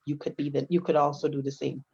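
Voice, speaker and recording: A man's voice: on the high side, flat delivery, fast talker, gravelly, darkish tone, husky, lo-fi sound. A man from Grenada on a committee, speaking earnestly and reflectively in a panel discussion over a video call. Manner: earnest, reflective, with many restarts. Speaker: Grenada Day committee member.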